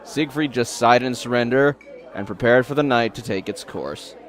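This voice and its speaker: A man's voice: medium pitch, normal speed, a faint crowd. A man reading scripted story narration in a theatrical, wordy storytelling manner.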